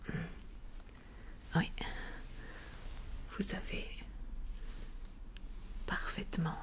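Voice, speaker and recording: A woman whispers as a doctor in an ASMR medical roleplay. Her delivery is very unhurried and stays nearly flat in melody. She addresses the listener gently, guiding and encouraging with deliberate phrasing. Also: fairly low pitch. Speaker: ASMR performer playing a doctor.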